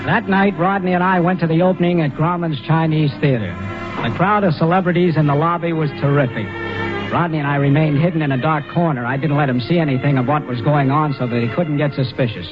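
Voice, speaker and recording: A man's voice: a little high, steady tone, quick pace, music in the background, lightly acted. A man playing a scheming screenwriter who tells his own story in 1940s radio drama style. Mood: confiding. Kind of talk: 1940s radio acting, first-person narration. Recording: dull old radio recording.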